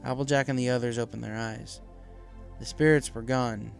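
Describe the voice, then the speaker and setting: Guy narrating a dramatic fanfic, normal mid pitch, music going behind him. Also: steady tone, moderate pace, creaky. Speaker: narrator, fan fiction reader. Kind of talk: scripted dramatic story narration.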